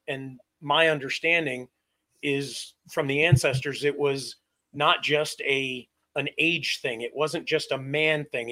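A man's voice: medium pitch, bright, forceful tone. A man from Vermont, co-hosting a men's talk show and reflecting earnestly, often repeating his words. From Vermont, USA. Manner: earnest, repeats words, speaks of ancestors and the soul. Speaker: co-host.

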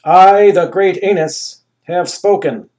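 A man's voice: high voice, fairly even intonation, a little mellow, pitched above the performer's own voice. A man voicing a pompous talking anus who thunders commands like a ruler in a comic story. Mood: grandiose. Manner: grandiose, slow, commanding declarations. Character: pompous talking male anus tyrant, booming.

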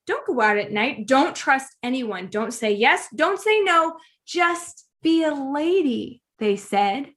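A woman co-hosting a podcast, expressive and dramatic when reciting, quick to agree in chat. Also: high voice. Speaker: podcast co-host. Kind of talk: casual chat, reads a spoken-word list.

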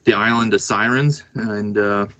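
A man's voice: on the low side, fairly even intonation, measured pace, a bit bright, dry recording. A man speaking casually as a musician guest, explaining things in a laid-back, hesitant way. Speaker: band musician and songwriter, interview guest. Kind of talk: casual conversation.

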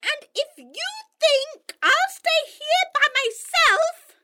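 A woman voicing a sharp, scolding female marsh harrier bird in a storybook reading. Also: very high voice, animated, slow, voice pushed up, full character voice. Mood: bossy.

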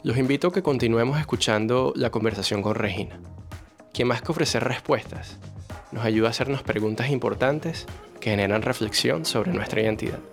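Mid-thirties guy hosting, measured narration, relaxed chat, faint music behind. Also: mid-range voice. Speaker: podcast host. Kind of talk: scripted narration and casual interview.

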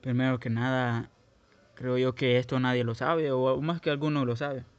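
Casting: young Guatemalan man, loose rambling storyteller, mid-pitched, dull home-mic sound. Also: level tone, normal speed, dry recording. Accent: Guatemalan Spanish. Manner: rambling, hesitant, many restarts and fillers. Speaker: podcast host. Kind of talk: casual personal storytelling.

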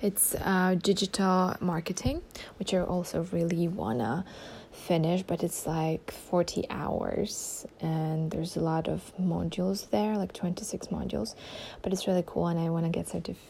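A young woman talks through her podcast in a loose, rambling way, filling pauses with ums and restarting her sentences. Her voice sits low and a little gravelly, with a dark, mellow tone. Faint room noise lies underneath. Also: fairly even intonation. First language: not German. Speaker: podcast host. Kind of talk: casual solo podcast chat.

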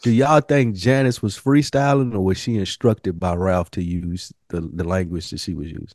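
A man's voice: low-pitched, fairly even intonation, normal speed, a little mellow, no echo, clean studio sound. A man co-hosting a podcast in casual chat, blunt and slangy with frequent swearing. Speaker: podcast co-host.

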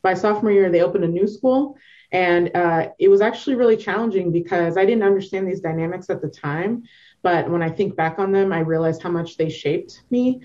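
A woman's voice: on the low side, a little fast, mellow, lo-fi sound. A woman from Northern Virginia with an American accent, telling her story informally and reflectively as an interview guest. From Northern Virginia, United States. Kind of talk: conversational personal storytelling.

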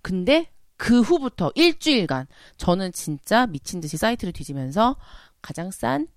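A woman's voice: medium pitch, big pitch swings, normal speed, dry recording, studio quality. A woman telling a personal story in casual chat, dramatically acting out her own remarks with emphatic repetition. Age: 40s.

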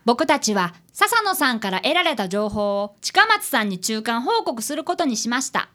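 A woman's voice: on the high side, very animated, moderate pace, sharp tone. A woman in a radio drama playing an earnest child apprentice detective who narrates the case. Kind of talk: scripted radio drama acting.

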